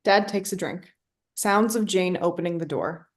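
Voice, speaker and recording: A woman's voice: lowish voice, normal speed. A woman reading scripted stage directions as the narrator of a play reading.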